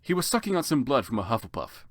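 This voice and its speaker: Theatrical narrator, mock-serious dramatic reading, very animated intonation, dry close sound, comic asides. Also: medium pitch, fast, dry recording. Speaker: narrator of a fan fiction reading.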